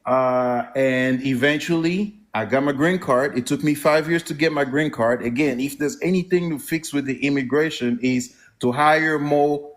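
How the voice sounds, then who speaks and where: A man from the Congo whose first language is French, speaking with a Congolese French accent as a caller making his points in an earnest, measured, orderly way. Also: fairly high pitch. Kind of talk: earnest call-in opinion.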